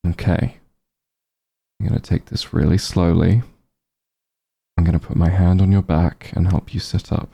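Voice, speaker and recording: Man playing a very dark, deep-voiced gentle doctor. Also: fairly even intonation, exaggerated voice. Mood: gentle, careful. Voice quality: husky, low, gravelly. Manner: slow, soothing, reassuring. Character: gentle, concerned back-room doctor, an old friend. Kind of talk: ASMR roleplay, soft intimate acting.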